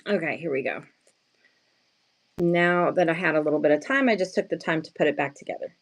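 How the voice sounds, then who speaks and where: Slightly hoarse woman, casual live-sale chat. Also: low-pitched, lively intonation, fairly quick. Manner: self-deprecating, playful slangy wording; chatty, greets and thanks viewers often. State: just woken up, sleepy.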